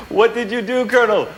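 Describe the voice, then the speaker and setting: A man's voice: sits high, a bit bright, a little hiss or hum, rough recording. A man playing a playful computer expert in a television drama, exuberant and teasing.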